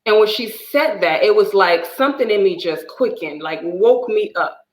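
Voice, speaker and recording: A woman's voice: medium pitch, expressive, normal speed. Woman guest, divorce coach, sharing her story with feeling; roomy echo around her voice. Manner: earnest, emotional storytelling. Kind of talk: personal testimony in a talk show.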